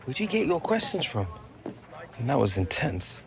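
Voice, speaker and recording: An actor playing a confident young professional footballer in casual video game dialogue. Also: male voice, medium pitch, sing-song, normal speed, gravelly, poor audio. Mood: relaxed. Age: young adult.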